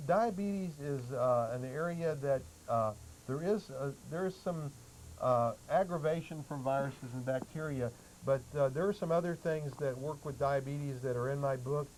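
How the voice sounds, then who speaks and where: A man speaking as a talk-show guest, explaining health remedies in a halting, matter-of-fact way. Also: mid-range voice, darkish tone. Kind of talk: explanatory talk-show answers, some reading aloud. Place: public access television studio. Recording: noisy old public access TV audio.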